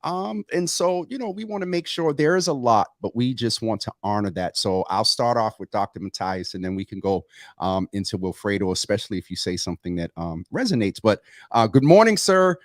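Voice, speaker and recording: A man hosting a morning health talk show, introducing a guest with a lively, swooping delivery. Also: fairly low pitch, a little fast, no echo, clean studio sound. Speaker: host.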